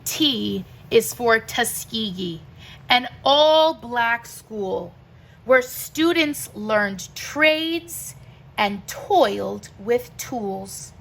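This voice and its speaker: Teacher lady doing a kids book read-aloud, really slow and deliberate, bright clear-cut emphasis on the rhymes. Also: fairly high pitch, animated, poor audio. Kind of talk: expressive read-aloud of a rhyming picture book.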